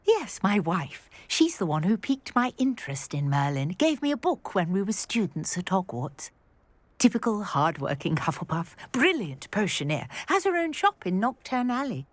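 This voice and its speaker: A woman playing a brisk, wry historian and archaeologist in a video game, articulate and full of curiosity. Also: medium pitch, very animated, dry recording. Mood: wry, matter-of-fact. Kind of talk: video game character acting.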